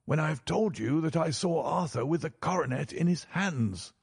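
Man acting indignant, impatient older banker, pitched up. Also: moderate pace, creaky, darkish tone, husky, no echo, clean studio sound. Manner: formal, indignant. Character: anguished, impatient, stubborn older gentleman banker.

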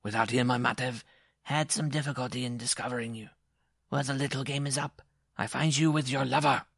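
A man's voice: medium pitch, fairly even intonation, fairly quick, gravelly, a bit bright, dry recording, clean studio sound, voice pushed up. A man voicing a small, vengeful circus dwarf in a story, formal, cold and menacing. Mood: cold menace.

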